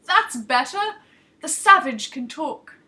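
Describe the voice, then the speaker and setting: A woman's voice: sits high, big pitch swings, slow pace, bright, forceful tone, voice pushed up, exaggerated voice. A woman voicing a bent old village crone from a story, brusque, exclamatory and condescending. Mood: pleased, mocking.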